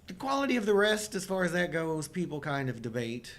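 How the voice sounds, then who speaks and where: A man's voice: on the high side, very animated, brisk, fairly bright tone. A man of about fifty co-hosting a casual film chat, joking and poking fun at himself. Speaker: co-host of a live show.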